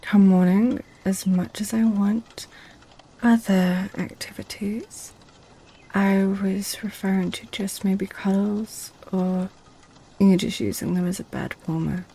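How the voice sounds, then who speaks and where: Succubus, female performer: playful, possessive temptress, whispery and unhurried, dark-toned ASMR roleplay. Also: medium pitch, steady tone, rough recording. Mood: playful, persuasive. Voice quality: breathy, whispery. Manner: teasing, coaxing, slow.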